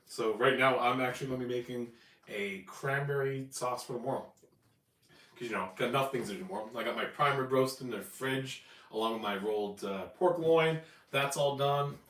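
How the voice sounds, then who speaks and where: A man hosting a live home cooking stream, chatty and upbeat, his voice raspy and cracking after a flu. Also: mid-range voice, a bit bright. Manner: upbeat, chatty, explains steps as he cooks. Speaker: home cooking channel host. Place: home kitchen. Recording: echoey room, distant mic, faint noise. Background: kitchen clatter, hot plate.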